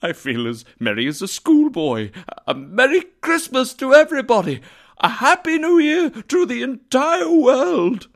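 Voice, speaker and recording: A man's voice: medium pitch, wildly swooping pitch, measured pace, heavily acted. A man voicing an overjoyed, reformed old miser in a storybook reading, exclamatory and full of glee. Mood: giddy joy. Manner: exclamatory, gleeful, effusive.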